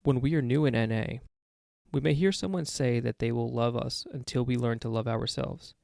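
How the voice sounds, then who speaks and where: A man with a gravelly voice reading a daily meditation aloud for a podcast. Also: medium pitch, normal speed, darkish tone, no echo, clean studio sound. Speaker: guest reader of a recovery podcast.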